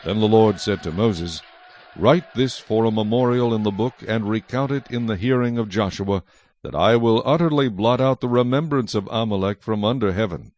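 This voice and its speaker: A man narrating scripture from a script as a solemn, measured storyteller. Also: fairly low pitch, darkish tone.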